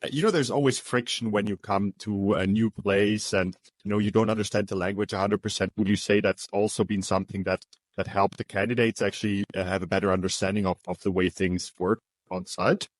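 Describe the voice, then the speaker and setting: A man's voice: on the low side, steady tone, a little fast, no room sound. A man interviewing a business partner, asking long, chatty questions full of "you know" and "um". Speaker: interviewer for recruitment agency.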